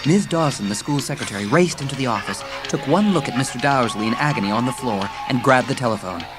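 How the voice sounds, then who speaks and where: A woman's voice: very deep voice, lively intonation, fast talker. A woman reading a children's story as a scripted, dramatic audiobook narration. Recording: music under the narration. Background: music.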